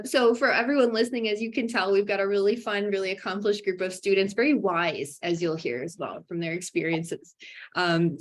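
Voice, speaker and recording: A woman hosting an online information session, talking in an upbeat way with many fillers and bright emphatic rises. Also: mid-range voice. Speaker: webinar host for a graduate program.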